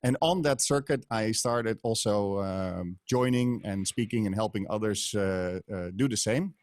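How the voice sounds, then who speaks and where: Middle-aged male host, hesitant interviewer, lowish dry voice. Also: a little mellow, dry recording. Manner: measured questions with hesitations. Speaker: podcast host. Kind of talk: casual interview. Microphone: headset, mic on stand.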